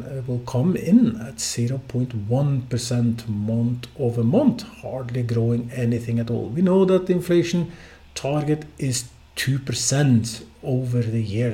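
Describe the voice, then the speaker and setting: A man from Norway with a Norwegian accent, hosting a solo podcast of financial market commentary in halting, filler-heavy phrasing. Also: mid-range voice, dark tone. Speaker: podcast host.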